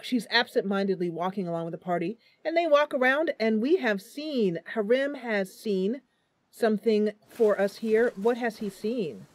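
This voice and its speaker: A woman's voice: mid-range voice, dry recording. A woman giving casual live commentary as she plays and narrates a roleplaying game. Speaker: game streamer.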